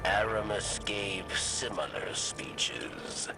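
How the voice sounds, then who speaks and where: An actor playing a measured, dryly knowing old alien in a video game, with gravelly, formal speech. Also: male voice, lowish voice, expressive, exaggerated voice. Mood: wry, accusing. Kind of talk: video game character acting. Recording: voice over game music.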